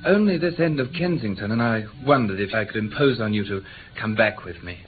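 Actor as a smooth English gentleman villain, tinny recording. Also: fairly low pitch, fairly quick, close to an everyday voice. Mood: polite, hesitant. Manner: politely hesitant turning coolly threatening. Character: smooth, menacing male kidnapper posing as a polite gentleman. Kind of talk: mid-20th-century British radio play acting. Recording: noisy, tinny old radio broadcast.

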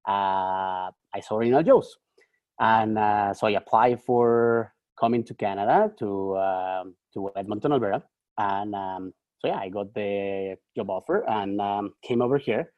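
A man's voice: fairly low pitch, a little slow, dry recording, highs cut off. A man in his thirties from Mexico with a Mexican Spanish accent, a podcast guest telling his story hesitantly with lots of ums. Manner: hesitant, many ums and restarts, self-deprecating humor. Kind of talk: casual interview storytelling.

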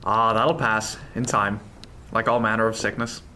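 Man as a calm, wry ship's first mate. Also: lowish voice, normal speed, slight background noise. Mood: reassuring. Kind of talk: period radio drama acting.

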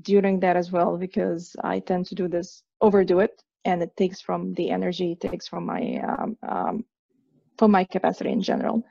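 A woman from Egypt in her thirties talks haltingly, full of fillers and restarts. Her voice is heavily gravelly and mellow. The recording is dry and dull. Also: lowish voice, level tone, no echo. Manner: hesitant, many fillers and restarts. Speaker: guest. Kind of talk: conversational interview.

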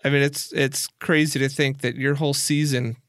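A man's voice: medium pitch, little pitch movement, normal speed, a bit bright, no echo, studio quality. A man working as an interviewer, talking casually and conversationally as he thinks through his questions.

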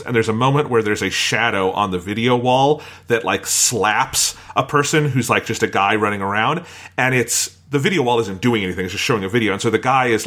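A man's voice: lowish voice, sharp tone. A man hosting a casual podcast discussion, describing and explaining as he goes. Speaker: podcast host.

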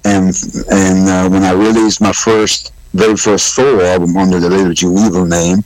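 A man from Hungary, a blues musician telling his story in a radio interview, halting, with many fillers and restarts. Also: low voice, little pitch movement, a little mellow, no echo, poor audio.

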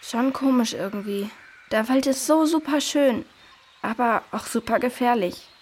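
High, husky young girl. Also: a little slow, a little mellow. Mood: thoughtful. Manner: questioning, earnest. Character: curious, worried young girl on an adventure. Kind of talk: children's radio play acting.

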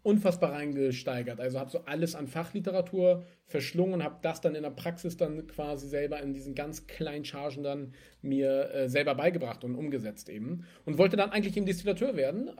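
A lively young man from Germany talks about his background as a guest in an interview, in a casual, chatty way. His voice sits a little higher than most men's, and he laughs now and then while he tells his stories. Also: fast, few pauses. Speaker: guest, spirits entrepreneur.

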